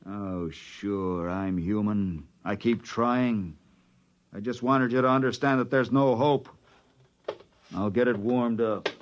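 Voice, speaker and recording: An actor playing a bitter, fatalistic spaceship engineer with a sardonic, brooding delivery in an old radio drama. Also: male voice, on the low side, slow, lo-fi sound. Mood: bitter despair. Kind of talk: mid-century radio drama acting. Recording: noisy crackly old radio recording.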